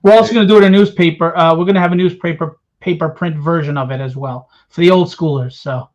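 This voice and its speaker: Higher-voiced man, slightly dull lo-fi sound. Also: fairly even intonation, quick pace, darkish tone. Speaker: panel guest, indie comics writer and publisher. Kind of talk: casual promotional talk. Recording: slightly dull, lo-fi call audio.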